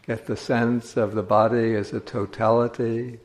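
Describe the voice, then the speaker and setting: A man teaching meditation, giving guided instructions in measured phrases with pauses between them. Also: medium pitch, level tone.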